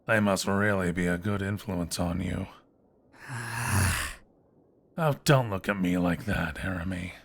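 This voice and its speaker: A man acts a scheming fantasy guildmaster with a lowish voice. He talks in a smug, theatrical drawl of mock courtesy, taunting like an audio drama villain. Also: a little slow, gravelly, long pauses, exaggerated voice.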